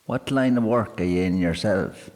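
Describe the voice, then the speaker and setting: A man's voice: low-pitched, normal speed, darkish tone, pitched above the performer's own voice, lightly acted. A man voicing a proud, boastful politician in his forties, holding forth in a pub. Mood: hearty. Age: 40s. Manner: pompous, boastful, self-satisfied. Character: proud middle-aged male politician, barrister. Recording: long hall reverb.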